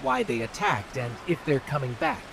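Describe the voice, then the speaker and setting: Casting: level-headed game ally, expressive pitch, tactful, with clear background noise. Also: female voice, moderate pace, exaggerated voice. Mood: measured, tactful. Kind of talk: video game voice acting.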